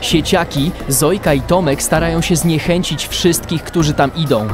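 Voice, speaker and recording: A man's voice: on the high side, normal speed. A man reading dramatic scripted narration for a children's audio drama. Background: loud music.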